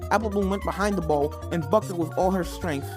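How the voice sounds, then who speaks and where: A woman's voice: on the low side, brisk. A woman reading scripted fan fiction as a story narrator. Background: video game music.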